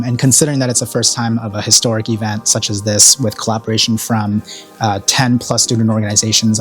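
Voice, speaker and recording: A man born in Korea speaks in an interview as a college program director, his voice sitting on the low side. He talks earnestly and thoughtfully, often pausing with fillers. Faint music plays underneath. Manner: earnest, reflective, many fillers. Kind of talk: interview talking about an event.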